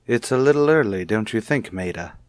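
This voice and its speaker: A man's voice: fairly low pitch, animated, fairly quick, a little mellow, no room sound, studio quality, natural voice. A man playing a suspicious husband in an old-time radio soap, speaking with formal, pointed politeness. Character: suspicious, coolly courteous husband, probing his wife.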